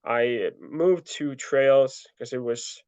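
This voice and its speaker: A young man telling his story in a relaxed interview, full of fillers and restarts. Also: mid-range voice, slow pace, clear, resonant tone, no echo. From Ukraine. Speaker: guest, ultra trail runner. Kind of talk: casual interview answers. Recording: dull lo-fi dry sound.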